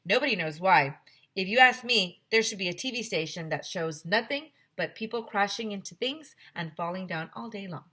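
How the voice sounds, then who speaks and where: A woman reading a children's book aloud as a teacher to her class, with deliberate, expressive storytelling. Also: mid-range voice.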